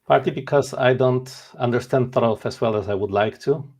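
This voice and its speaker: Gravelly-voiced man in his forties. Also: medium pitch, a little fast, darkish tone. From Poland. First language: Polish. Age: 40s. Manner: earnest, candid, self-deprecating. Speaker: author and interview guest. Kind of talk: casual interview chat.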